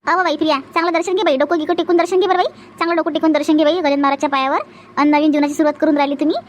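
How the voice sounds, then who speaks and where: A woman's voice: sits high, flat delivery, rapid-fire, natural voice. A woman voicing a bossy, chatty cartoon village aunt with a Vidarbha Marathi accent, nagging and repeating herself. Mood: instructing affectionately. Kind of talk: exaggerated comic cartoon dialogue.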